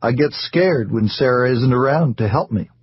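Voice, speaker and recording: A man's voice: medium pitch, animated, normal speed, husky. A man doing a dull, mumbling depressed husband. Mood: dull, plaintive. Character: depressed, timid, passive middle-aged husband.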